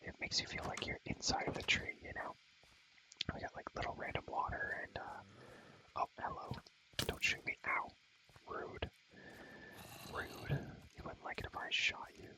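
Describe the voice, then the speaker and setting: A young man whispering in a casual ASMR game tour, breathy and slangy, softly repeating his phrases. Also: a little slow, long pauses, rough recording. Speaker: video creator showing a game world.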